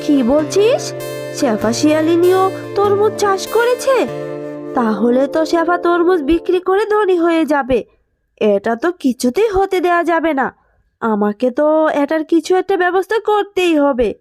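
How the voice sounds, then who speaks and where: Tigress mother for animation, played by a woman: high-pitched, bossy, indignant, jealous schemer; dry recording. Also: very animated, moderate pace, darkish tone, dry recording, faint music behind. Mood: jealous, scheming. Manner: scolding, indignant. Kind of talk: Bengali children's cartoon dubbing.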